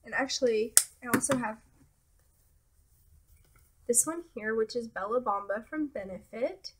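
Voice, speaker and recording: A young woman with a somewhat high voice talks through her beauty products in a loose, casual way, trailing off into asides and fillers. Her nose sounds a little stuffy. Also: a little slow, a little hiss or hum. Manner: relaxed, hesitant, many fillers and trailing asides. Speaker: beauty vlogger. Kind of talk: casual product talk to camera.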